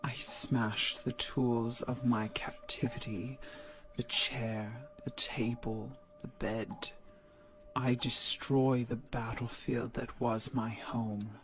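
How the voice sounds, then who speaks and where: A woman voices a haunted, defiant young woman in an audio play, speaking in hushed, often whispered tones. The delivery is very slow and deliberate. Clear music runs beneath her. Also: rumbling low, flat delivery, full character voice, low-quality recording. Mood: tormented, defiant.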